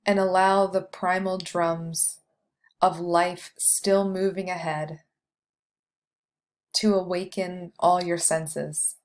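A woman leading a guided meditation, speaking slowly and softly with long pauses between phrases. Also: lowish voice, flat delivery. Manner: slow, soft, soothing delivery with long pauses.

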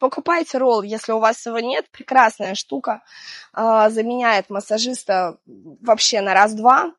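A woman in her thirties, hoarse from a cold, guiding an exercise routine outdoors by the sea in a chatty, gentle way with small laughs. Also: a little high, moderate pace, no echo. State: hoarse from illness. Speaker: fitness instructor. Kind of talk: guided exercise instruction. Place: outdoors on a seaside promenade.

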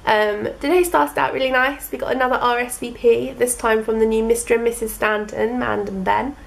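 A woman's voice: on the high side, steady tone, fairly quick, slight background noise. A woman in her twenties with a cold, chatting upbeat into a handheld camera on her sofa for a day vlog. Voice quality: slightly husky from a cold. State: has a cold, a bit snotty. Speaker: vlogger. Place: living room sofa at home, indoors. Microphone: handheld camera at arm's length.

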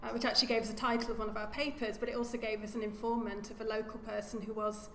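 A woman archaeologist giving an academic lecture at a lectern, speaking in a measured way and often repeating words as she corrects herself. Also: a little high, level tone, a bit bright. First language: English. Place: lecture room. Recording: echoey lecture hall with faint hum.

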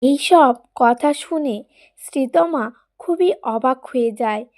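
High-pitched woman narrating a story slowly. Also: darkish tone. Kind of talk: scripted storytelling narration for a cartoon. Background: background music.

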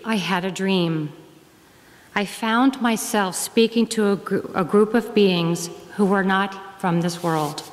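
A middle-aged woman, a guest minister, reading aloud to a congregation at a lectern, measured and deliberate, phrase by phrase. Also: mid-range voice. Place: large wood-panelled church hall. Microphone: lectern gooseneck microphone. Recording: reverberant church hall.

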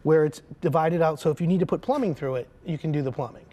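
A man's voice: quick pace, warm tone. A middle-aged man, a home improvement expert, giving an upbeat product demonstration as a guest on a TV talk show, on a studio set with a lapel mic. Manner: upbeat, pitching and pointing at samples.

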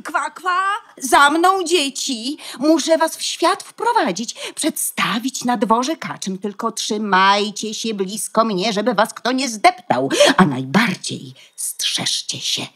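A woman playing a proud, protective mother duck in a fairy-tale radio play, bossy and caring as she instructs, with a husky, breathy voice. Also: a little high, expressive, a bit bright, full character voice. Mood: instructing, bossy. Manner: instructing, measured, emphatic.

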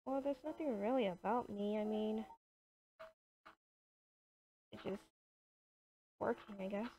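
A woman's voice: medium pitch, warm tone. A young woman playing a shy, hesitant new worker in an improvised video game roleplay. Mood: shy, polite. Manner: hesitant, unhurried. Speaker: roleplay gamer. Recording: dull lo-fi home mic.

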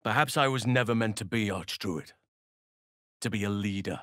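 A man playing a big, gentle, wise druid in a fantasy game, speaking in measured, formal, thoughtful phrases. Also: on the low side, no echo, studio quality, lightly acted. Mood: sombre, reflective. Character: big gentle male druid, wise, earnest nature lover.

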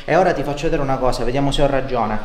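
A man's voice: mid-range voice, racing, a crowd in the background. A middle-aged man working as a chiropractor, reassuringly explaining the treatment to his patient and checking in with short questions. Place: living-room-like treatment room indoors. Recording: roomy echo, faint background noise.

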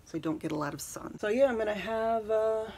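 A soft-spoken woman in her thirties chatting casually while doing her makeup, rambling and saying "um" a lot, recorded on a phone in a small bedroom. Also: mid-range voice, fairly quick, a little hiss or hum. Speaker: beauty vlogger. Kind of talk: casual get-ready-with-me chat. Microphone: phone camera at arm's length.

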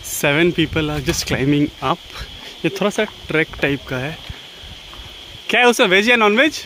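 A man's voice: fairly high pitch, animated, measured pace, sharp tone, poor audio. A young man, chatting casually as he vlogs a forest trek near a waterfall, holding the camera at arm's length. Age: young adult. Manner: chatty, mixes in English phrases. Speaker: vlogger. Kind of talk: casual travel vlog. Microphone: handheld camera or phone at arm's length. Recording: outdoor noise behind the voice.

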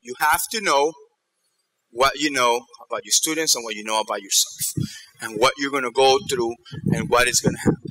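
A middle-aged man giving a motivational keynote on stage, telling stories in an animated way and checking in with his listeners. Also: medium pitch, brisk, rough recording. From New York and Ecuador. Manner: animated storytelling, checking in with the audience. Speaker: keynote speaker. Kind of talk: motivational conference talk. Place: theater stage in a large auditorium.

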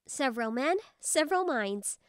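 A woman's voice: sits high, lively intonation, normal speed, clean tone, no echo, clean studio sound. A woman playing a friendly, supportive young female office coworker in a manga video dub. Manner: encouraging, friendly.

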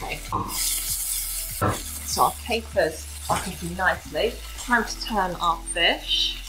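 A woman's voice: on the low side, slow, background noise. A woman in her thirties giving a casual, instructional cooking demonstration as a home cooking host in a kitchen. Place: modern home kitchen, indoors. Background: background music, frying sizzle.

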